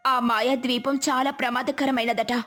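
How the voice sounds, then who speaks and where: A woman's voice: sits high, level tone, normal speed, fairly bright tone, voice pushed up. A woman voicing an anxious, devoted young village wife who pleads tenderly in a storybook tale. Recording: faint music behind.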